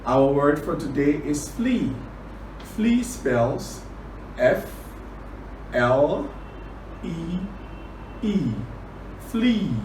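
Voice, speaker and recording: A man from Liberia telling a children's story and teaching words, deliberate, repeating phrases for emphasis. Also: on the high side, big pitch swings, darkish tone. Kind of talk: children's storytelling and word lesson. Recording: roomy, distant, clearly noisy recording.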